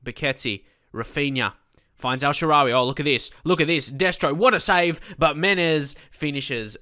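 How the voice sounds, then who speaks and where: Man, blocked nose, chatty game commentary, bright, smooth voice. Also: mid-range voice. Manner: chatty, many fillers, bursts of excitement at goals. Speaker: let's play host. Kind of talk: casual gameplay commentary.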